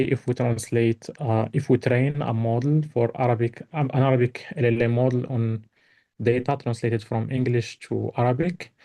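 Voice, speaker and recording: A man's voice: mid-range voice, mellow. A man from Morocco whose first language is Tarifit, giving an invited academic talk on slides, with lots of "like" fillers and restarts. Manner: many fillers and restarts, heavy use of "like". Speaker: invited speaker.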